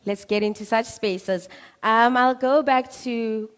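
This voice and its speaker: A woman's voice: fairly high pitch, fairly even intonation, slow pace, smooth. A woman moderating a conference panel, upbeat and encouraging toward young people. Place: conference hall. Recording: reverberant hall.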